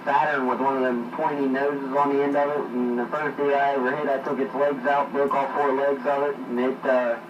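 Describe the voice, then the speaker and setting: A man's voice: mid-range voice, flat delivery, a little fast, hardly any pauses, highs cut off. A man, a tow truck driver chatting casually on CB radio, rambling along with lots of fillers. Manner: rambling storyteller, many fillers. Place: parked near a coffee shop. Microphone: CB radio handset. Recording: echoey distant CB radio with static.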